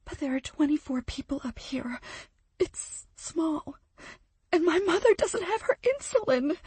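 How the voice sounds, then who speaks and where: A woman voicing a frightened young woman trapped by a flood, her voice high, tearful and breaking into whispers. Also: flat delivery, a little slow, a little mellow, husky, dry recording. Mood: distraught.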